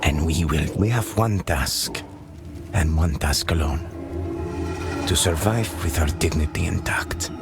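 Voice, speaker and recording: An actor playing a solemn, dutiful vault dweller resigned to his fate, speaking gravely in a fantasy game. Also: rumbling low, a little slow. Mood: solemn, resolute. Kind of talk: dramatic game narration. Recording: loud music behind voice. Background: loud music.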